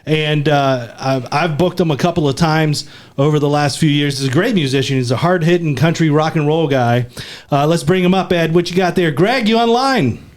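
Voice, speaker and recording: A man's voice: a little high, moderate pace. A man hosting a radio show in a studio, upbeat and joking with his co-hosts. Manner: jokey, upbeat banter.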